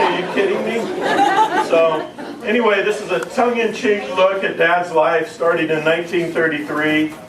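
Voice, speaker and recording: A middle-aged man speaking informally and reading humorous verse to a family gathering, with a joking, irreverent manner. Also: on the high side. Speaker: family speaker introducing and reading a tribute poem. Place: room at a family gathering, audience behind. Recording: roomy, distant, noisy crowd recording.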